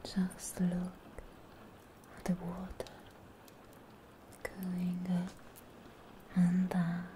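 Very slow whispering young woman, ASMR witch. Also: lowish voice, monotone. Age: young adult. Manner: hushed, breathy whispering, drawn-out phrases. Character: charming, persuasive, eerie witch performing a cleansing ritual. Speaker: ASMR performer in witch roleplay. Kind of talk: ASMR roleplay, soft spoken to whispered. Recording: close-miked whispers with clear background noise.

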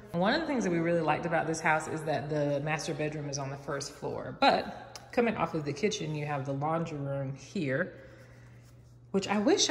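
Young woman, low-pitched and chatty; phone-held tour recorded in bare, echoing rooms. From American South. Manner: chatty and enthusiastic, many "like"s. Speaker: vlogger giving a home tour. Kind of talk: casual walk-through narration. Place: empty house with bare rooms, indoors. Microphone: phone camera held while walking. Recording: echoey empty rooms.

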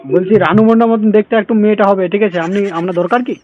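Fast, high-pitched West Bengal man prank calling. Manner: insistent, repetitive, feigned innocence. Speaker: prank caller. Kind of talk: comic prank phone call as a salesman. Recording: muffled, lo-fi audio.

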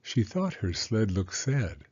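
A man narrating a children's read-aloud story in an expressive, deliberate manner. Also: fairly low pitch, breathy edge, lo-fi sound.

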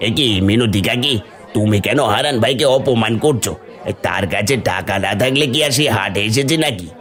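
A man's voice: mid-range voice, normal speed, a bit bright, a faint crowd, natural voice. A man playing a kindly, sympathetic village neighbour in a folk-tale cartoon, speaking conversationally. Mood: indignant.

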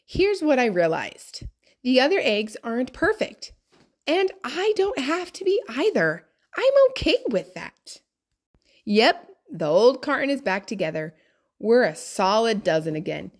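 An earnest little good egg from a storybook, voiced by a woman, eager to please. The pitch rises and dips freely, and words are stretched out slowly for emphasis. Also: heavily acted. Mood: content. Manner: expressive, drawn-out emphasis. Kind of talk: children's picture book read-aloud.

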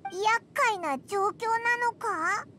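A woman's voice: extremely high pitch, very slow, bright, forceful tone, slight background noise, full character voice. A woman voicing a tiny childlike fairy companion in an anime game, blunt, casual and eager to help. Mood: curious.

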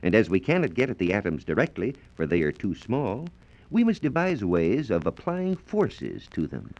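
A man's voice: on the low side, sing-song, moderate pace, a little mellow. A man with an American accent presenting a formal, carefully phrased scripted science lecture in 1940s radio style. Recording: dull lo-fi 1940s radio transcription disc.

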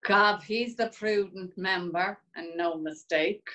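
An actress with an Irish accent playing a sardonic, earthy Dublin pub regular who narrates, read over a video call. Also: female voice, on the low side, slow, sharp tone. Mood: mocking. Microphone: video call webcam.

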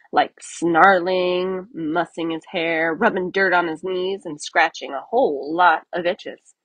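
A woman reading a children's story aloud in an expressive narrating style. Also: on the low side, slightly rough, dry recording. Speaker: storybook reader.

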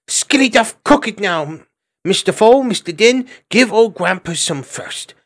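A man's voice: high voice, lively intonation, unhurried, a bit bright, pitched above the performer's own voice, heavily acted. A man voicing a small male squirrel child who gives bossy orders in broken, childish grammar.

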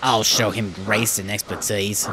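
A man voicing a haughty, loud-mouthed male steam engine who brags and huffs in a children's storybook. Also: lowish voice, level tone, measured pace, background noise, pitched below the performer's own voice. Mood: huffy. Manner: haughty, huffy, bragging.

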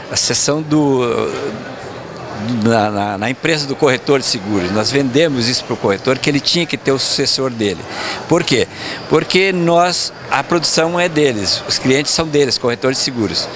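A man in his seventies, the founder of a firm, answering an interviewer's questions at an event, with a halting manner and repeated words. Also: mid-range voice, noisy background. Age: 70s. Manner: hesitant, repeats words, animated gestures. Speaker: company founder and outgoing president. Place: event stage backdrop, indoors. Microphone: handheld mic held by interviewer.

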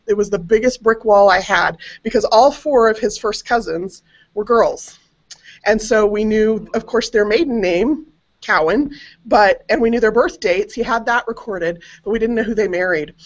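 A middle-aged American woman telling a personal family story casually as a panelist on a web video call. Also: a little high. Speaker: panelist on a genealogy web show. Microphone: webcam video call. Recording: dull, compressed internet call audio.

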